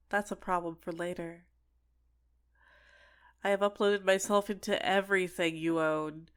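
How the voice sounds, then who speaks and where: A woman's voice: medium pitch, a bit bright, clear, resonant tone, lightly acted. A woman playing an obsessive, sentient dating-sim character in an ASMR roleplay, clingy and coaxing with menacing sweetness. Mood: possessive, menacing. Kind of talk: scripted ASMR roleplay monologue.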